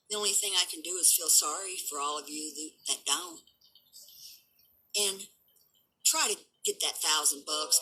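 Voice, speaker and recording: A woman in her seventies with a rough voice, ranting defiantly and boastfully at her critics in an unscripted vlog. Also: deep voice, rough recording. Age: 70s. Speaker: vlogger and dog breeder. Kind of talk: unscripted rant to camera.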